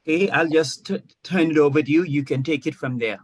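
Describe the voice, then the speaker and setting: A man's voice: a little high, a little fast, slightly rough, no room sound. Middle-aged man, dry close sound. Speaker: host, pastor. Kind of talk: informal hosting of an online talk.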